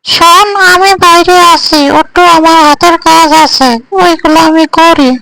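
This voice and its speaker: Very high, creaky cartoon woman voiced by a man. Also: little pitch movement, measured pace, pushed and bright, no echo, heavily acted, rough recording. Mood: bossy, caring. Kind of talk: amateur cartoon dubbing.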